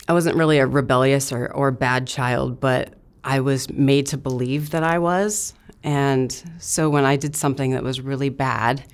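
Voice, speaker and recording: A woman's voice: extremely low pitch, a little mellow. A young woman from Idaho, speaking plainly and matter-of-factly as an interview guest, with frequent filler words. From Idaho, USA. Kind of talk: candid interview recounting her past.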